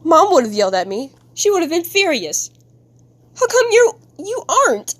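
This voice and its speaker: A woman's voice: a little high, very animated, unhurried, a bit bright, full character voice. A woman voicing an upset child who bitterly accuses a parent in a whiny, emotional comic dub. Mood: hurt, pleading.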